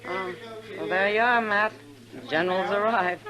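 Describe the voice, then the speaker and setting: A woman's voice: mid-range voice, moderate pace, crowd noise, natural voice. An actress playing a plain-spoken American frontier saloon woman in a 1950s radio western, casual and friendly. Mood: curious, familiar. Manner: casual, frank. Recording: old radio recording, music behind.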